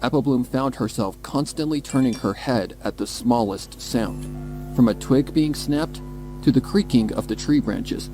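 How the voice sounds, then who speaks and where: A man with a mid-pitched voice narrates a dark story. His reading is measured and dramatic, with soft music underneath. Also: low-quality recording. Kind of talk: scripted story narration, horror fan fiction. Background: background music.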